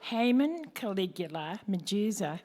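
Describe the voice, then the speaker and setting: A woman's voice: mid-range voice, big pitch swings, measured pace, darkish tone. A woman playing a helpful, chatty attendant soul in Hades, explaining things in a rhymed radio play. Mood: obliging. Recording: reverberant hall recording.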